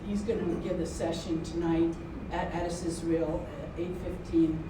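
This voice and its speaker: A woman's voice: lowish voice, level tone, moderate pace. A woman making an informal announcement to a gathering. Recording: echoey, noisy room, distant mic.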